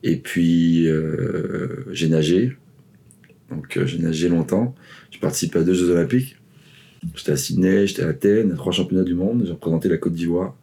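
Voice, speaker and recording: A man's voice: low voice, normal speed, creaky, dark tone. A man in his forties, raised in the Ivory Coast and Paris, a swim coach telling his story as a guest, rambling with lots of fillers and restarts. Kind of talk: casual interview chat.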